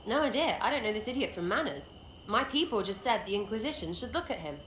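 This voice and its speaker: A woman's voice: medium pitch, animated, fast, fairly bright tone, natural voice. A woman playing a cheeky young English commoner rogue in a video game, slangy, blunt, mocking and rambling. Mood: cheeky, brash. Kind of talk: video game dialogue acting. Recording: roomy echo, faint background noise.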